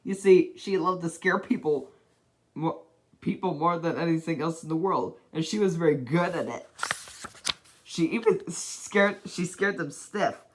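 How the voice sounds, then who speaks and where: A person reading a children's storybook aloud in a deliberate, dramatic way, as an amateur narrator. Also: mid-range voice, noisy background.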